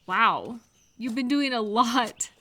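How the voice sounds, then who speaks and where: An actress plays a caring woman friend in an intimate roleplay audio. Her voice sits a little high and moves at an unhurried pace. She is gentle and cheerful, asking attentive questions and leaving room for the answers. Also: full character voice. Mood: gentle, concerned. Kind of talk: scripted one-sided roleplay audio, addressed to the listener.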